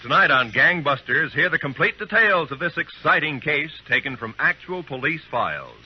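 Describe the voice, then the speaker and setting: A man's voice: fairly high pitch, big pitch swings, moderate pace, slightly rough. A man with an American accent, announcing a scripted promo as a 1950s radio announcer. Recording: muffled old radio recording.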